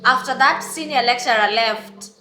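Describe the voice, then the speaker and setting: A young Kenyan woman with a Kenyan accent telling an animated storytime, exclaiming and acting out what others said. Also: fairly high pitch, moderate pace, reverberant, music in the background. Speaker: vlogger. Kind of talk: casual storytime.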